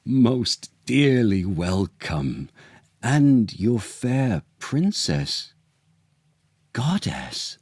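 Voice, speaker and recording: A man's voice: fairly low pitch, big pitch swings, a little mellow, husky, no echo, heavily acted. Male reader as storybook monarch: full of wonder and feeling, reverent stage diction, lingering on words, dry close sound. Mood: joyful welcome. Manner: theatrical, drawn-out, reverent. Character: emotional, awestruck king from a children's Shakespeare tale.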